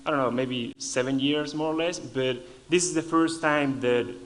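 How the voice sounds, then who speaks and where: Argentinian guy talking at a conference, spanish is his first language, stops and restarts a lot, mid pitch. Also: fairly even intonation, fairly bright tone, rough recording. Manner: halting, restarts phrases often. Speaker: conference speaker. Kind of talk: lightning talk.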